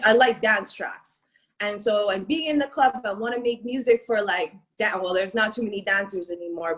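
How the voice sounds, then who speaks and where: A woman's voice: a little high, fairly bright tone. A young woman from Canada, a rapper, chatting casually in an interview and filling her talk with "like" and "um". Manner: chatty, lots of "like" and "um". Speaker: rapper guest.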